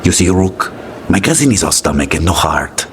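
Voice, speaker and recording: A man's voice: low-pitched, sing-song, moderate pace, slight background noise. A man voicing a smug, self-assured assassin, dismissive and theatrical, in a video game cutscene. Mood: smug, teasing.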